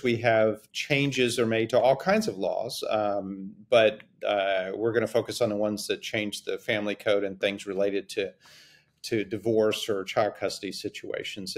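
A middle-aged man hosting a podcast about divorce law over a video call from his office, explaining in a relaxed way and repeating words as he thinks. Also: on the low side. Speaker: host, family law attorney. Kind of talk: casual explanatory podcast talk. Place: office with framed map, indoors. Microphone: video call webcam.

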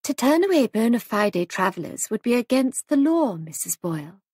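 A woman narrator acts a spirited young hostess in a storybook. She speaks lightly and briskly with pointed politeness and quiet defiance. The voice is a little husky, recorded dry. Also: a little high, animated, no room sound, voice pushed up. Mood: sweetly defiant.

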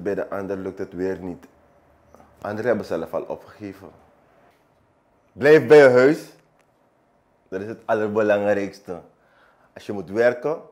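A man in his thirties with a Surinamese accent, answering in an unscripted interview in a halting way, repeating phrases for emphasis. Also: low voice. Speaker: barber, small business owner interviewee. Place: small barbershop, indoors, seated. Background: background music.

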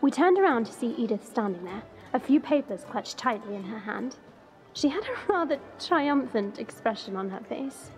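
Woman acting a high-voiced wry dragon girl. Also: big pitch swings, moderate pace, faint music behind, poor audio. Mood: dry narration. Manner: chatty, confiding, wry. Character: proud, sardonic young dragon woman, secretly soft-hearted. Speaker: narrator and lead character. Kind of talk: first-person audio drama narration.

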